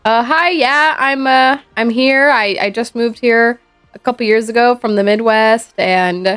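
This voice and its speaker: Woman putting on a shy stammery young dude, bit higher and louder, music faint behind. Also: measured pace, smooth. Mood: hesitant. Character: nervous young man introducing himself.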